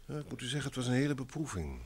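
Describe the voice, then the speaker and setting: A man's voice: mid-range voice, sing-song, rapid-fire, a little hiss or hum. An actor in an old Dutch radio play, playing a polite, wealthy businessman, earnest and troubled, with a formal, courteous manner. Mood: grave, troubled.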